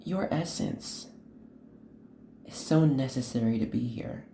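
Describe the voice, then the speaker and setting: Female card reader, very deep and mellow, earnest and instructive, halting short phrases in a roomy space. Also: vocal fry, a little hiss or hum. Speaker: host, tarot card reader. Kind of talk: unscripted tarot reading addressed to the viewer.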